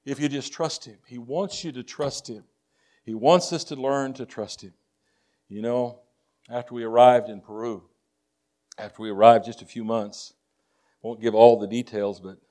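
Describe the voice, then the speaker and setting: A man's voice: medium pitch, a little mellow. An older man with a Texas drawl preaches to a congregation, weaving folksy personal stories into his sermon. He talks earnestly, often restarting and repeating words as he goes. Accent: Texan. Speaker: missionary preacher.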